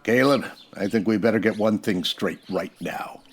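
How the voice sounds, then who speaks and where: Radio western marshal male actor: American, low husky voice, folksy, unhurried authority with dry defiance. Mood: dryly defiant. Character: steady, dryly defiant Old West marshal. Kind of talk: old-time radio western acting.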